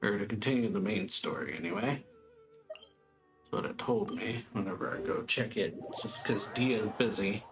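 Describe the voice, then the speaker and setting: A man casually commenting as he plays a video game, relaxed and chatty with slangy asides. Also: on the low side, normal speed. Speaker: gameplay streamer. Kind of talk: casual let's-play commentary. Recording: game music behind.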